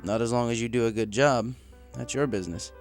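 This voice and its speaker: A man's voice: medium pitch, brisk, faint music behind, close to an everyday voice. A man voicing an easygoing, businesslike adult male pegasus boss in a story, casual, frank and matter-of-fact.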